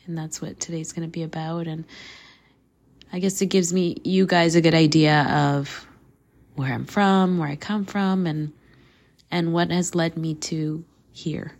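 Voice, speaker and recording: A woman in her thirties, hoarse from a flu, hosting a faith podcast in an earnest, casual way, laughing easily and dropping to a soft near-whisper. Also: low voice, steady tone, a little slow. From Canada. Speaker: podcast host.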